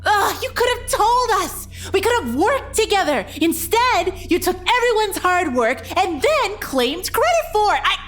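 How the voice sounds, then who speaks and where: Very high-pitched, hot-tempered young inventor played by a woman. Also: very animated, measured pace, few pauses, fairly bright tone, soft background music, exaggerated voice. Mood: outraged. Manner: exclamatory, emphatic. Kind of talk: audio drama acting.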